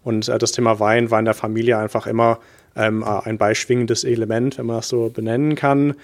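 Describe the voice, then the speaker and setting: A man's voice: medium pitch, little pitch movement, a little fast. A young man from England, born in Bristol, answering interview questions about wine investing in an earnest, hesitant way with many fillers. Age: young adult. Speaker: guest, wine investment manager.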